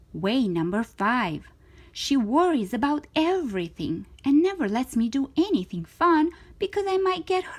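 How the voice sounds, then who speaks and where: A woman reads a picture book aloud for children. Her voice sits on the high side and moves up and down with lots of expression. She is theatrical in delivery, leaning on key words and drawing them out. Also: a little mellow, slightly rough. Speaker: storytime reader of children's books.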